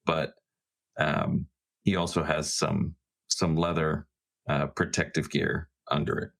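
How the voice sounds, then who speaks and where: A man's voice: a bass voice, little pitch movement, slow, vocal fry, fairly bright tone. A man chatting casually and without a script as a player in a tabletop roleplaying game. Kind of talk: casual, unscripted game talk.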